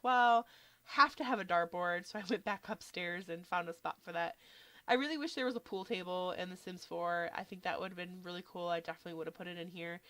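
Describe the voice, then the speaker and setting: A woman with a slight cold, a little nasal, casually narrating a game build in a relaxed, chatty way with lots of fillers. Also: lowish voice, dry recording. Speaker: game building video creator.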